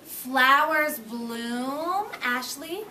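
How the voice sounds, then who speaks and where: A woman's voice: high voice, very slow, crowd noise. A woman teaching young children through an interactive read-aloud, prompting them with questions in a classroom. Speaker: elementary school teacher. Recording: echoey noisy classroom, far from mic.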